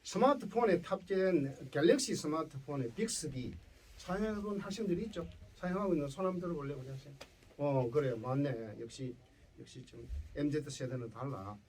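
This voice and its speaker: A man's voice: a little high, fairly quick, darkish tone, distant mic, slight background noise, rough recording. A man with a Gyeongsang accent giving a lecture to school students as a professor, often checking in with his listeners. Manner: checks in with listeners using tag questions. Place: indoor lecture before students.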